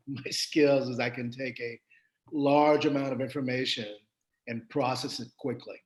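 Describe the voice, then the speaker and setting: Interview guest, French Canadian man, faint Quebec accent; animated storyteller with many restarts. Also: medium pitch, rough recording. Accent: slight Quebec French. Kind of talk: casual interview conversation.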